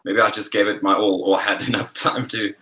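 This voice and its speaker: Deep-voiced man, rambling. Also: a little fast. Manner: relaxed, rambling, frequent fillers and laughs. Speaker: singer-songwriter guest. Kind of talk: casual interview chat.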